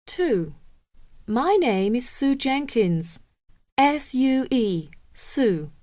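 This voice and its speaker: A woman reading scripted English listening exercises deliberately, spelling words out letter by letter. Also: on the high side, very animated, warm tone, no echo, full character voice. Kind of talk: scripted slow textbook dialogue.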